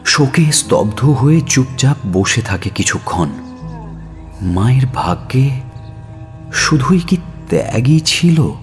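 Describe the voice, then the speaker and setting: A man narrating a dramatic audio story in a solemn, measured and expressive manner. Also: mid-range voice. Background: music.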